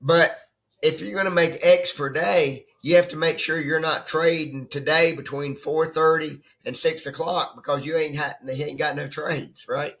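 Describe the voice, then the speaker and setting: A hoarse man who has nearly lost his voice, talking as a trading coach in a rambling, conversational way and often checking in with "right?". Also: fairly high pitch, fairly even intonation, moderate pace, fairly bright tone. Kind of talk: casual off-the-cuff coaching talk. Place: at his computer desk.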